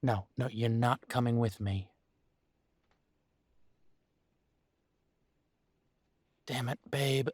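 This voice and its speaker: A man acts out a male werewolf in an intimate audio roleplay. His medium-pitched voice is very dry, with no room around it. He speaks to his mate in a halting, exasperated way, weary and torn. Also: darkish tone, breathy edge, no room sound, natural voice. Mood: exasperated, protesting. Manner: exasperated, halting, sighing delivery.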